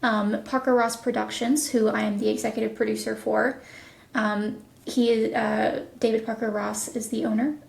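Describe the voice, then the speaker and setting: A woman speaking earnestly and casually as an interviewed producer, in a measured way. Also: fairly high pitch, flat delivery, gravelly. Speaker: executive producer being interviewed. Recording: roomy echo.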